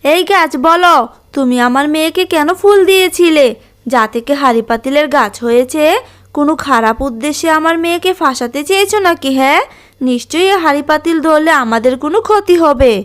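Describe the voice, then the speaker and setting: A woman's voice: sits high, animated, brisk. A woman voicing a suspicious, scolding, protective mother jackal in a cartoon. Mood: suspicious, stern. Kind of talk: cartoon voice acting.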